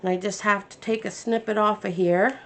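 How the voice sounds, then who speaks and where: A woman's voice: medium pitch, fairly even intonation, moderate pace, a bit bright, a little hiss or hum. A woman hosting a craft tutorial, chatting casually and thinking aloud as she works, a bit congested from her sinuses. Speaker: crafting channel host.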